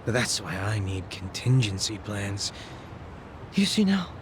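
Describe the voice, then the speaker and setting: Low-voiced, menacing gang boss. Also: male voice, very animated, unhurried, noisy background. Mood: mocking, giddy. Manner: casual profane taunting. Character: menacing gang boss, sly, mocking, gleefully threatening man. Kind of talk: English video game dub acting.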